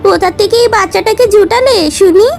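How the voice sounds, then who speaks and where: A nosy, spiteful village woman from a cartoon fairy tale. She taunts and accuses in a high voice, with light music behind. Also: normal speed, vocal fry, a little mellow. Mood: mocking, accusing.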